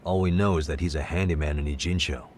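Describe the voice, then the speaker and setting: An American man playing a sharp, composed detective in a game cutscene, speaking in a measured, deliberate way. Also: rumbling low, fairly even intonation, a little hiss or hum. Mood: serious, analytical. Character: sharp, composed male detective investigating a case. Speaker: video game voice actor. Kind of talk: English dub game cutscene dialogue.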